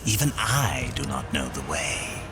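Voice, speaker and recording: A man's voice: extremely low pitch, very animated, a little slow, full character voice. A man playing a sly, greedy demon merchant in a video game cutscene, speaking in a theatrical, insinuating and mocking way. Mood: scornful. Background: music.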